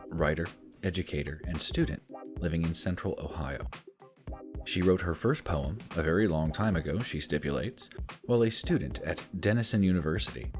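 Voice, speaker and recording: A man reading a scripted guest introduction in a slow, measured way. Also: low voice. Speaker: podcast host. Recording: clear music bed behind.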